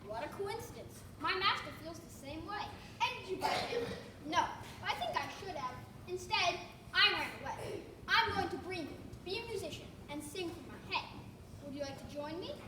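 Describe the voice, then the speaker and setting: A woman's voice: extremely high pitch, animated, normal speed. A girl playing an old grumbling donkey. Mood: friendly. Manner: grumbling, wry asides. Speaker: school play actor. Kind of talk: school stage play acting. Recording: echoey hall, distant mic, noisy.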